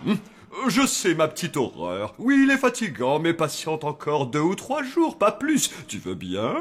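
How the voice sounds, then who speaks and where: A man playing a fond, fretful zombie father in a cartoon, husky and theatrical. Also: on the high side, huge pitch swings, unhurried, exaggerated voice. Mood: placating. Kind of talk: French cartoon dub acting.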